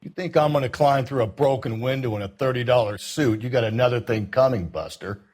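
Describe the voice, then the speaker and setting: An American man playing a crude, cynical 1940s homicide detective in a crime game, gruff and wisecracking. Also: mid-range voice, a little fast, a little mellow, natural voice. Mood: irritated. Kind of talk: period crime game acting.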